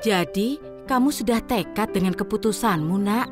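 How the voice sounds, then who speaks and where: A woman's voice: medium pitch, normal speed, over music, natural voice. An actress playing a poor village mother who speaks tenderly and pleadingly to her son in an audio drama.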